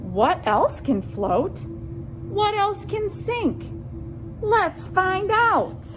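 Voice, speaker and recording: A woman presenting a children's science storytime, reading aloud deliberately and speaking to young listeners as friends with simple questions. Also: very high-pitched, big pitch swings, over music, low-quality recording.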